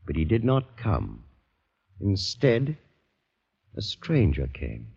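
Suave, sinister doctor, husky and swooping. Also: male voice, deep voice, wildly swooping pitch, heavily acted. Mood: quietly menacing. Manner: courteous, measured, insinuating storytelling. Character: suave, sinister doctor, older man, politely menacing. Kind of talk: 1940s radio acting. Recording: dull 1940s radio, long reverb.

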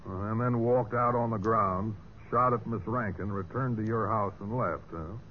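Actor as a low-voiced probing policeman, old radio. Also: narrow, tinny audio, natural voice. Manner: hesitant, measured questioning with drawn-out pauses. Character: methodical, probing male police investigator. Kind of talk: 1940s-50s radio mystery acting. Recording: noisy band-limited old radio recording.

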